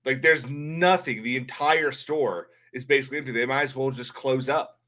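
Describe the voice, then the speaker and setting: A man's voice: mid-range voice, fairly even intonation, moderate pace, bright, forceful tone. A man hosting a livestream, chatting casually in a rambling, blunt way. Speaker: livestream host. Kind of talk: casual livestream chat and commentary.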